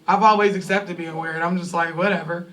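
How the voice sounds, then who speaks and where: A woman in her thirties from California, talking candidly to a live event crowd with animated, laughing bursts. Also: fairly low pitch, a little fast, distant mic. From Sacramento, California. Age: 30s. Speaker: online creator speaking at fan event. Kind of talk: candid talk to a live audience. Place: event venue with audience.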